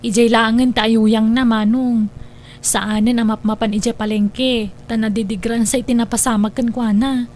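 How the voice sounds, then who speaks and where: Lady playing outspoken young ilocano girl, really high voice, filipino accent, radio drama style. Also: little pitch movement, normal speed, darkish tone, slight background noise, lightly acted. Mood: gentle.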